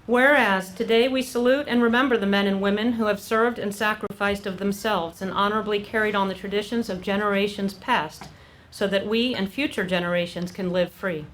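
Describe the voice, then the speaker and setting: An American woman reading a formal ceremonial address in measured oratory, as an official at an event. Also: mid-range voice, fairly even intonation, a bit bright, a little hiss or hum, poor audio. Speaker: mayor reading a proclamation. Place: outdoor memorial ceremony.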